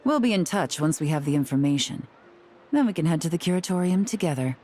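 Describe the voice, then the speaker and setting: Low mellow woman's voice, composed secret agent, wry and measured, video game dialogue, faint music. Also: expressive. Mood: businesslike.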